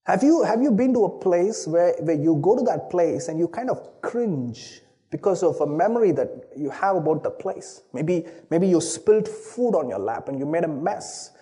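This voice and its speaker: A man's voice: on the high side, animated, normal speed, few pauses, warm tone. A man from Chennai with an Indian accent, a native Tamil speaker, preaching a sermon with a personal story and restarting phrases often. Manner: earnest, storytelling, repeats words at the start of phrases. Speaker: pastor.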